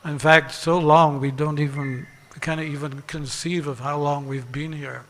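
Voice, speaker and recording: Slow-paced man preaching in a reverberant hall. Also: fairly high pitch, fairly even intonation, a bit bright, a little hiss or hum. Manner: deliberate, pausing and repeating phrases for emphasis. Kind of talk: sermon with parables and rhetorical questions. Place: large reverberant temple hall.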